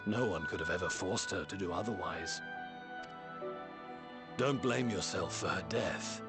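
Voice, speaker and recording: An actor playing a kindly middle-aged researcher and father in a video game, plainspoken, steady and reassuring. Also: deep voice, unhurried. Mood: consoling. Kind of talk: video game cutscene acting. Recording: faint music behind the voice.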